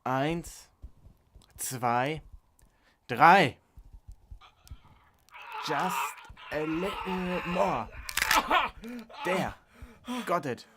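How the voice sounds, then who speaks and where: A man playing a caring German soldier of the First World War in a radio drama, gentle and deliberate. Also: a little high, background noise. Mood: urgent, straining. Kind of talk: student radio drama acting.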